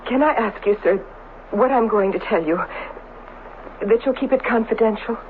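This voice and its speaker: A woman acting a worried, weary doctor's wife in an old-fashioned radio drama, anxious, halting and pleading. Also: a little high, lightly acted. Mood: nervous confiding. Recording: tinny, band-limited, noisy radio broadcast recording.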